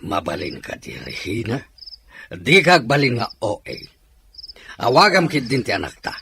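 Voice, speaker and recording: An actor playing a stern, exasperated middle-aged father in a radio drama, grumbling and commanding in a gravelly voice. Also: medium pitch, very animated, normal speed, pushed and bright, slight background noise. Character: stern, exasperated father determined to marry off his daughter.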